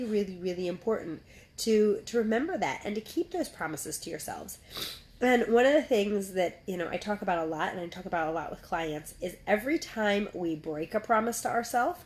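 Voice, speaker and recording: Middle-aged female health coach, mid-pitched, informal pep-talk delivery, earnest and emphatic with frequent check-ins. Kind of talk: informal motivational talk to viewers.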